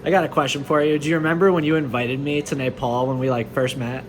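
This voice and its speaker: A man's voice: mid-range voice, steady tone, fast talker, a little hiss or hum. A man interviewing a restaurant owner in a casual, upbeat and friendly way. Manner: upbeat and friendly, often saying "that's awesome". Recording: clear crowd chatter behind.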